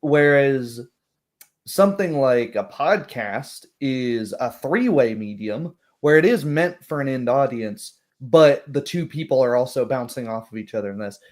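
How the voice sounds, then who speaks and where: A man's voice: medium pitch, animated, a little slow. A man who works as a game master, talking casually and thoughtfully with hesitant phrasing in a group discussion.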